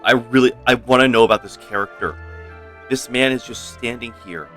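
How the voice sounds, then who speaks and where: A man giving casual live gameplay commentary as a streamer, halting and repeating his phrases. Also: medium pitch, pushed and bright, faint music behind. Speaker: game streamer.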